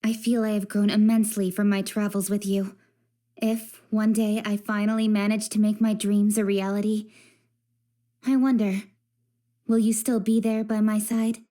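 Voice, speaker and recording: An actress playing a principled, impatient but sincere young woman official in an English game dub, speaking in a crisp, earnest, assertive way. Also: medium pitch, flat delivery, moderate pace, mellow, close to an everyday voice.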